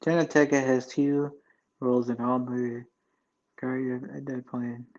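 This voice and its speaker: A man's voice: medium pitch, little pitch movement, moderate pace, a little mellow, highs cut off. A man in his twenties chatting casually with his live viewers, rambling loosely with lots of fillers and restarts. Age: 20s. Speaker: livestream host chatting with viewers.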